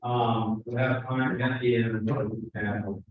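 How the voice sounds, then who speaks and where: A man introducing panel speakers at a conference, halting with many fillers and restarts. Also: medium pitch, flat delivery. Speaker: session moderator. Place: conference room. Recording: echoey hall from far off, dull sound.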